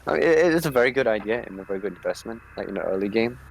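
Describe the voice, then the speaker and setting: A man's voice: lowish voice, lively intonation, racing, creaky, low-quality recording. Playful young male esports co-caster. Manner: playful, rambling, trails off mid-sentence. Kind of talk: live game commentary.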